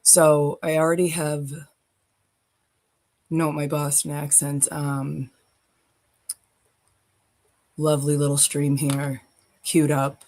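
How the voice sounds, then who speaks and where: Slow, gravelly woman streamer chatting casually. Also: deep voice, little pitch movement, a little mellow. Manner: loose, chatty, swears casually. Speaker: livestream host. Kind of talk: casual live-stream chat.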